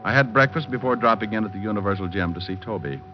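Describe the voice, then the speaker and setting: An actor playing a cool, wisecracking private detective, narrating with dry wit in hardboiled old-time radio style. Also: male voice, fairly low pitch, fast talker, natural voice. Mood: wry, cool. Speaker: lead and narrator. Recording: dull old radio recording. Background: music.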